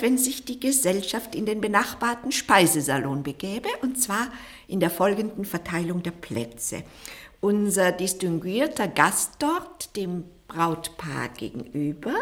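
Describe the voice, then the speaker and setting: An actress playing a formal, orderly hostess in a German radio play, precisely and ceremoniously presenting the scene and directing guests. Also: female voice, lowish voice.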